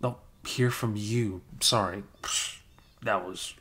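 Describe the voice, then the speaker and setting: A man playing a flustered, caring young male best friend with a crush, speaking haltingly in an improvised ASMR roleplay. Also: lowish voice, pushed and bright. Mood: flustered, embarrassed. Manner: halting, bashful, chuckling, casual bro talk.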